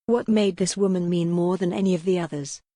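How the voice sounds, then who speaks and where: Low-voiced woman narrating romance fiction. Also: steady tone, fairly quick, mellow, dry recording, clean studio sound. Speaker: audiobook narrator.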